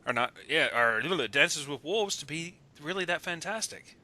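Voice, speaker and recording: 남자, 아주 밝고 또렷이 튀는 목소리. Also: male voice, a little high, animated, moderate pace, sharp tone. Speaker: guest movie commentator. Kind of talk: casual opinionated film discussion. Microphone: voice call on a computer.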